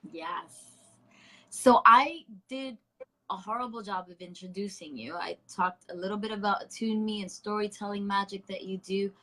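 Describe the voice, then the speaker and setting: A woman's voice: mid-range voice, a bit bright. A woman hosting an online interview, chatty and informal, making light of her own introduction. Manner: chatty, informal, self-deprecating. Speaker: host.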